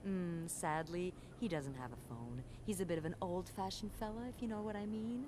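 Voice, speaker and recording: A woman's voice: lowish voice, moderate pace, noisy background, natural voice. A woman voicing an exasperated, sardonic hotel receptionist who keeps up a polite front, in a narrative video game. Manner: deadpan sarcasm under polite phone courtesy.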